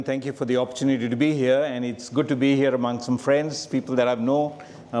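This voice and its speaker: Conversational Sri guest preacher. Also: male voice, mid-range voice, darkish tone. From Sri Lanka. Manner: conversational, with frequent "uh" fillers. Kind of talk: sermon. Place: church sanctuary.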